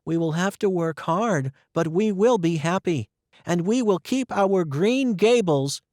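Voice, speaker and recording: A man voicing a cheerful, determined teenage girl in a storybook reading, lifting his voice high and eager. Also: big pitch swings, unhurried, vocal fry, no echo, studio quality, full character voice. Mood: joyful. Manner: eager, bright, high-pitched girlish voice.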